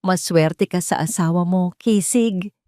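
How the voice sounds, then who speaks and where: A woman's voice: lowish voice, a little slow, dark tone, clear, resonant tone, no room sound, clean studio sound, lightly acted. A woman voicing a stern, wealthy older matriarch who speaks coldly and with authority. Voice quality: cold, clipped.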